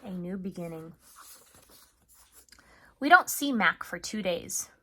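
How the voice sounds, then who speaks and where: A woman speaking as an elementary school teacher in a video lesson for children, chatty and expressive as she talks and reads aloud. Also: lowish voice, slow pace. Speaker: third grade teacher.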